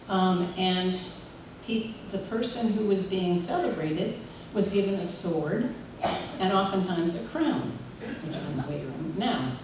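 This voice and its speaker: A woman giving a warm, chatty tribute at a memorial gathering, telling stories and leading the room in song. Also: medium pitch. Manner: warm, chatty, leads group singing. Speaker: memorial speaker and singer. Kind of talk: heartfelt spoken tribute. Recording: echoey hall from far off, noisy room.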